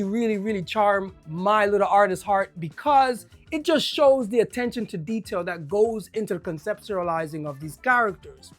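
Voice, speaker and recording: A person with a Jamaican accent giving a casual video game review, enthusiastic and often repeating words when excited. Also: medium pitch.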